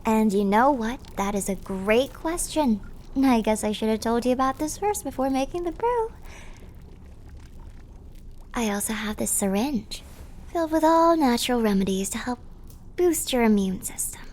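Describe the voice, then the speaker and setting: A woman playing a clumsy, affectionate and slightly mad plague doctor girlfriend in a theatrical, breathy ASMR roleplay. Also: fairly high pitch, expressive, normal speed, a little mellow, a little hiss or hum, natural voice. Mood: playful, bubbly. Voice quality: breathy, often whispered. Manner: theatrical, affectionate, quaint turns of phrase. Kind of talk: ASMR roleplay, scripted character acting.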